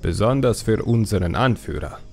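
A cheeky young troll acted out by a man talks in a playful, teasing way. His voice has a gravelly creak and sits over faint music, as in a fantasy audiobook. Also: lowish voice, lively intonation, moderate pace, darkish tone. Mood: reflective. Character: young male troll, cheeky, upbeat would-be witch doctor. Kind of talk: audiobook character acting.

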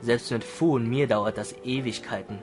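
A man's voice: medium pitch, moderate pace, lightly acted. Man playing a smug, grumpy teenage boy. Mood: grumbling. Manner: curt, teasing, dismissive. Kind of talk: fan audio drama dialogue in German. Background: music.

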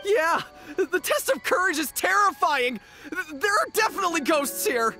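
A man's voice: very high-pitched, expressive, normal speed, bright, forceful tone, soft background music, heavily acted. A man playing a panicky, cowardly young gang underling in a video game. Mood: scared.

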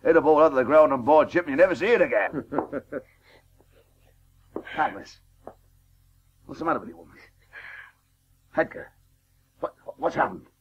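Blustering old sportsman, husky and swooping. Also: fairly high pitch, expressive, moderate pace, pauses often. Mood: impatient. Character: ageing celebrated cricketer, blustering, proud, enthusiastic. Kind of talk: radio drama acting. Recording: dull, restored 1980s radio tape.

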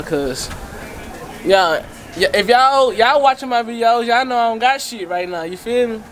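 A young man hosting a vlog, chatting casually to a handheld camera in slangy, hyped talk with repeated catchphrases. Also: high-pitched. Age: young adult. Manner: slangy, hype talk with repeated tag phrases. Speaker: vlogger, host. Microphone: camera held at arm's length. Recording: handheld camera, loud background noise and chatter.

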